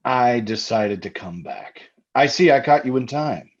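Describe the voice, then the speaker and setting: A man's voice: medium pitch, expressive, a little slow. A man plays a solicitous husband in an old-time radio thriller. He soothes and patronizes with swooping intonation and an undercurrent of menace. The sound is slightly dull and lo-fi. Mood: quietly menacing.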